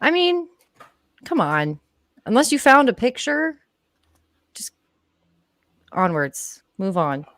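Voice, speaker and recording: A woman's voice: on the high side, big pitch swings, takes it slowly, long pauses, fairly bright tone, dry recording, studio quality. A woman co-commentating on a livestream in casual chat, with a wry, teasing way of talking.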